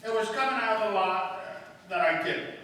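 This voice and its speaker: A man playing an anxious, down-on-his-luck theft suspect, halting and pleading, in a 1950s police radio drama. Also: high voice, sharp tone. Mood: sheepish confession. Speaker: amateur radio-play actor. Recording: echoey hall from far off, faint noise.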